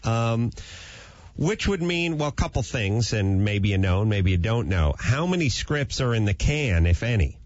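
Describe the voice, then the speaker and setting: A man's voice: on the low side, expressive, moderate pace, no echo, muffled recording. A man hosting an interview, wry and joking, drifting into comic tangents. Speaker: radio-style talk show host.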